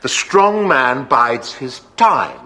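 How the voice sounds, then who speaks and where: An actor playing a scheming, obsequious grand vizier in a radio drama, deferential and placating. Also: male voice, mid-range voice, sing-song, slow, pushed and bright, exaggerated voice. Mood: smooth, persuasive.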